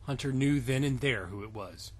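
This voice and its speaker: A man's voice: medium pitch, normal speed. A man hosting a casual comic fanfiction reading, mixing narration with mocking, sarcastic asides.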